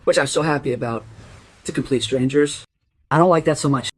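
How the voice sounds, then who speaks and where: An actress playing a chatty, rambling, somewhat flustered housekeeper in a radio play. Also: female voice, low-pitched, a little fast. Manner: rambling, apologetic.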